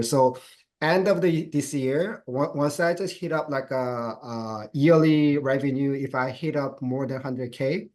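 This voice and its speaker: A middle-aged man talking as an interview guest, halting with frequent restarts and laughs. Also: medium pitch, darkish tone. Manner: halting phrasing with many restarts, laughs often. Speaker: guest sharing his business plans. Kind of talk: casual interview conversation.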